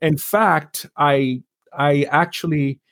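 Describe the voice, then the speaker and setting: A man's voice: a little high, steady tone, slow pace, fairly bright tone, no room sound, clean studio sound. A man from Nigeria, a guest on a podcast interview, talking in a friendly, reflective way and laughing easily. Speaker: guest, councillor and author.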